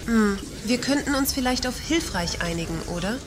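A woman's voice: on the high side, lively intonation, normal speed, lightly acted. A woman playing a dryly ironic shopper in a satirical radio play. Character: dry ironic female shopper keeping score. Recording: clear background ambient noise.

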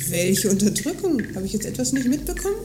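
An actress playing a condescending, talkative magazine journalist in a scripted radio play, speaking in long, pompous sentences. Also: female voice, fairly high pitch, big pitch swings, quick pace, noisy background. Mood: snide. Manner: pompous, wordy, haughty. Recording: music behind the dialogue.

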